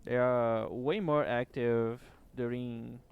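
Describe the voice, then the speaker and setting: A man's voice: mid-range voice, fairly even intonation, slow pace, clean tone, narrow, tinny audio. A man streaming a game late at night, chatting casually with viewers, with distinctive rolled R's and casual swearing. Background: faint background music.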